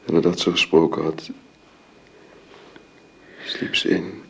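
An actor in a Dutch radio drama playing a gentle husband and father, speaking tenderly and haltingly in a hushed way. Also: rumbling low, flat delivery, vocal fry, husky, exaggerated voice. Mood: grieving, exhausted. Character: grieving gentle husband and father at his wife's deathbed.

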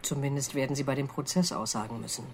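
An actress playing a stern, impatient criminal investigator in a radio crime drama, terse and matter-of-fact in German. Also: female voice, extremely low pitch, steady tone, quick pace, darkish tone, a little hiss or hum. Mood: probing, stern.

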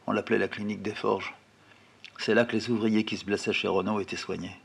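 A man telling a first-person childhood memory in a plain, unhurried, quietly reflective way. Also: lowish voice, flat delivery, creaky, slightly rough. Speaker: storyteller. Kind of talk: first-person memoir narration.

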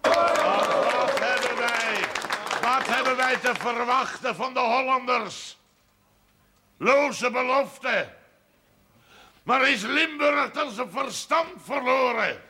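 An actor playing a fiery, domineering agitator in a television drama, declaiming in a rough, strained voice. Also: male voice, very high voice, some chatter behind, slight background noise, heavily acted. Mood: indignant oratory. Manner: declamatory, shouting, drawn-out oratory. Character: fiery Limburg rabble-rouser, indignant and domineering.

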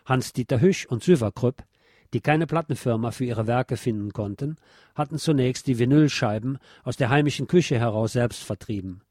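A man reads scripted documentary narration as a radio presenter. His voice sits at a middle pitch, and the recording is very dry, with no room sound. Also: moderate pace, a little mellow, no room sound.

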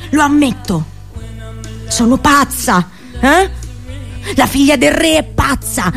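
An actress playing an anguished, passionate captive princess and seer in an intimate, poetic radio monologue. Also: female voice, extremely high pitch, fairly bright tone, full character voice. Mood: desperate, frantic. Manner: intimate, poetic, intense delivery.

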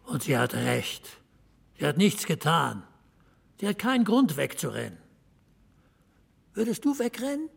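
A blunt small-town boss in an audio drama. His fairly high voice is heavily creaky, and he gives orders dryly and without fuss. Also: very animated, unhurried, pauses often, full character voice. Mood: grave. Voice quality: husky, gravelly. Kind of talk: naturalistic German audio drama acting.

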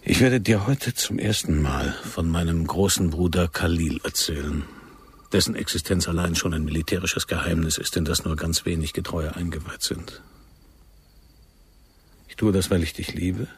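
An actor in a German radio play playing a commanding agent who poses as a fervent militant lover, speaking gravely and insistently. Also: male voice, deep voice, moderate pace. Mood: solemn, fervent.

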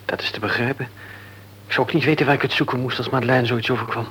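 Man playing a gentle young father. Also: mid-range voice, fairly even intonation, quick pace, a little hiss or hum, lightly acted, rough recording. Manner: gentle, soft-spoken. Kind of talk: radio play acting.

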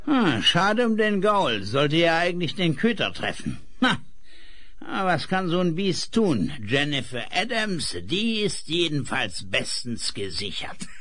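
Ruthless radio-play schemer: sharp, gravelly, raspy, gloating, higher-set male voice. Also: very animated, a little slow, heavily acted. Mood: callous, gloating. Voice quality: raspy, creaky. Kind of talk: 1970s German radio play acting.

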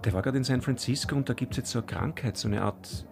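Male actor as gossipy friend, Austrian accent, dry tone, medium pitch, natural radio drama dialogue, soft music behind. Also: quick pace, vocal fry, lightly acted. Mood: gossipy, concerned.

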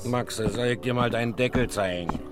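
An actor playing a gruff, dismissive male tavern keeper in a radio drama, casual and scoffing. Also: mid-range voice, moderate pace, over music, close to an everyday voice. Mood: businesslike. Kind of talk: German radio drama acting.

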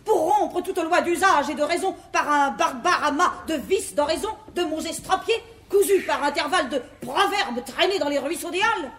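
An actress playing an imperious, pedantic bourgeois wife, declaiming pompously in a classical French verse comedy. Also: female voice, sits high, moderate pace, hardly any pauses, a bit bright. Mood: scornful. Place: theatre stage. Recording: roomy 1950s theatre recording, faint noise.

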